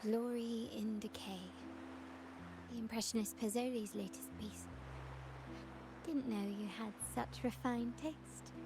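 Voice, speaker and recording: Poised, higher-voiced young heiress played by a woman. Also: fairly even intonation, measured pace, pauses often, close to an everyday voice, rough recording. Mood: composed. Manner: elegant, formal, composed. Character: poised, refined young heiress, dutiful and guarded. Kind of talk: video game voice acting. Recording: music behind the voice. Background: loud game music.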